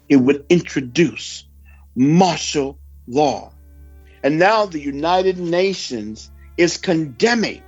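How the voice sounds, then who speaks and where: A male preacher delivers a sermon-like report over background music in a slightly dull recording. His voice sits fairly high for a man, and he speaks very slowly and emphatically, leaving solemn, dramatic pauses. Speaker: preacher reading a prophecy report. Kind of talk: sermon mixed with read-out news report.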